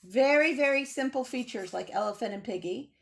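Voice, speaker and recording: Middle-aged woman, children's illustrator type, high-pitched, animated kid-directed teaching on a home video call. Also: fairly quick, vocal fry. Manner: animated, simple kid-directed explanations with emphatic words. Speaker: children's author and illustrator leading a drawing lesson. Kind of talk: children's drawing lesson, talking to kids. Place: small home room with bookshelves. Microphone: computer mic on a video call.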